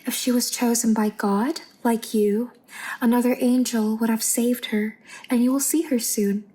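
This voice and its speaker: A woman playing a sweet, devout angel rescuer in an ASMR roleplay, with a gentle, soothing and primly pious manner. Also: on the high side, fairly even intonation, unhurried, breathy edge, low-quality recording. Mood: soothing, tender. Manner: gentle, soothing, prim and pious, 1950s styled. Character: sweet, devout female angel rescuer tending a bunker survivor.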